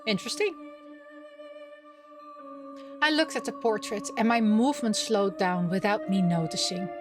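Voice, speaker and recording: A woman hosting a gaming video, reading game text aloud and reacting in a playful, expressive way as she chatters to herself. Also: medium pitch, moderate pace, music in the background.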